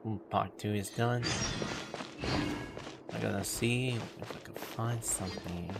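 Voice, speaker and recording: A man's voice: lowish voice, measured pace, vocal fry. A man livestreaming a game walkthrough, talking casually and hesitantly to himself as he plays. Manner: hesitant, muttering to himself while playing. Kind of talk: casual live walkthrough commentary. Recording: loud game music behind voice. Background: loud game music.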